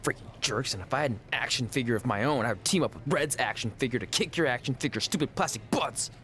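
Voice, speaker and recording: A man's voice: medium pitch, expressive, fast talker, a bit bright, lightly acted. A man playing a cocky yet insecure underdog wrestler in a video game, talking in a casual, wisecracking way over background noise. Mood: defiant. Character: cocky but insecure young male wrestler, the underdog hero.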